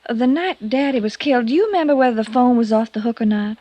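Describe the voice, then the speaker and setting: A woman's voice: a little high, fast talker, warm tone, close to an everyday voice. An American actress playing a composed, bitter young woman under suspicion in a 1950s radio drama. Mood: cool, probing. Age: young adult. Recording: dull old radio recording.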